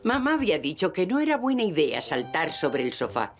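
A woman playing a gentle storyteller, narrating a children's cartoon with a Castilian Spanish accent. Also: low voice, very animated, a little fast. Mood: gentle storytelling. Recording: music bed behind the voice.

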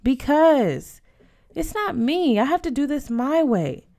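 Woman acting storybook teenage girl, stubborn, curious, earnest, protesting, high pitch, animated. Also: slow, full character voice.

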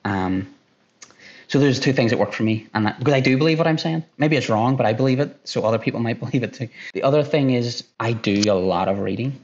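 A man in his thirties with an Irish accent, a sales director answering interview questions on a video call, talking fast and restarting often. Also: mid-range voice, darkish tone. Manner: fast-talking, repeats himself, many restarts. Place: room at home by a window. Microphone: headphones on a video call. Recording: dull lo-fi call audio.

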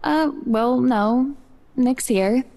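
Anxious, hesitant higher-voiced young woman. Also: drawn-out words, mellow. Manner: hesitant, nervous. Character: anxious, self-doubting young woman avoiding a date. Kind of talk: naturalistic audio drama acting.

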